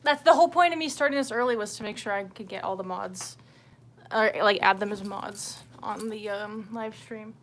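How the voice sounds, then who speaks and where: A woman's voice: mid-range voice, moderate pace, slight background noise. A woman hosting a livestream, chatting casually and off the cuff to viewers in a scattered, sweary way. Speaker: livestream host. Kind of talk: casual unscripted livestream chat.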